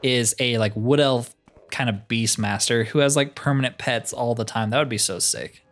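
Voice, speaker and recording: A man's voice: mid-range voice, moderate pace, a bit bright, soft background music. A man giving casual, wry commentary as he plays through a video game, often with dry humor. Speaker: gaming video host.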